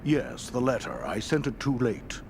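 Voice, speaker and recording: A man playing a kindly, solemn temple priest in a fantasy video game, gravelly and courteous in a measured way. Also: mid-range voice, big pitch swings, a little hiss or hum. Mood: gentle, solemn. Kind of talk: video game dialogue acting.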